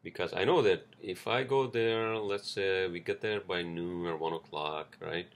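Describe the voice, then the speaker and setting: Deep gravelly man rambling casually. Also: a little slow. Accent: non-native English accent. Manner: rambling, loose and profane, many fillers and restarts. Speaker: podcast host. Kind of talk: casual solo podcast monologue.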